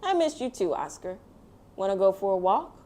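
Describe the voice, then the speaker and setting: A woman plays a young, caring best friend in a storybook. The delivery is earnest and expressive, often lifting a little in pitch. It is pitched for small children. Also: normal speed, natural voice. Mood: gentle, friendly.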